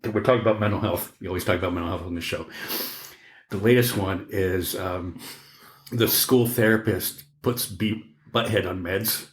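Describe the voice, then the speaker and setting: Male podcast co-host, very low voice, casual and opinionated with salty language, echoey room sound. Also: normal speed. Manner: opinionated, profane. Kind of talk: casual chat.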